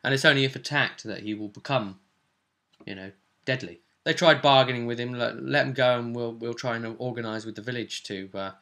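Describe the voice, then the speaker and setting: A man's voice: mid-range voice, brisk, sharp tone. A man giving a casual, rambling recap of a tabletop role-playing session as the game master.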